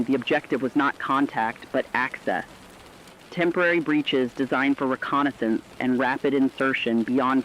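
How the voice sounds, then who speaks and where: A man playing a grave radio co-anchor, reading a scripted report in a formal, ominous, deliberate way. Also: mid-range voice, lightly acted. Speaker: news co-anchor. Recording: dull lo-fi broadcast with faint rain noise.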